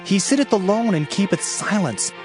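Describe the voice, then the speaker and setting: A man narrating scripture in a solemn, measured, dramatized reading. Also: sits high, big pitch swings. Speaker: Bible narrator. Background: background music.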